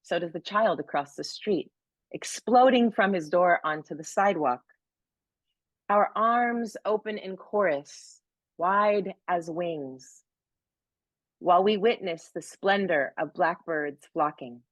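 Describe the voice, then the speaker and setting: A woman hosting an online poetry event over a video call, gushing and encouraging, reading poems slowly. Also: on the low side, dry recording. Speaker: event host and poet.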